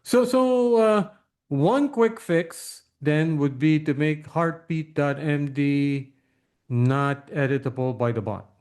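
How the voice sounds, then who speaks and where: A man hosting a casual interview, asking probing questions in a halting way and often repeating words. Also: fairly high pitch, darkish tone, clean tone. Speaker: interview host.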